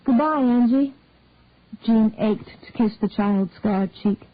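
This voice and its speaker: A woman reading a story aloud in a calm, measured way, with a tinny phone-like sound. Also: medium pitch, no room sound. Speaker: narrator.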